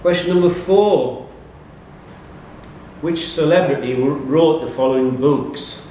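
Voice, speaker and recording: A man's voice: measured pace, dark tone. Male quiz question reader, reading aloud live; very reverberant pub room, faint noise. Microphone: distant room microphone. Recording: echoey room, distant mic, faint rumbling noise.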